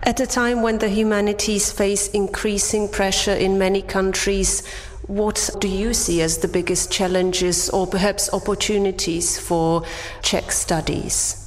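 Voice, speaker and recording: A woman interviewer asking prepared questions in a slow, deliberate way. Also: medium pitch, flat delivery, big-room sound.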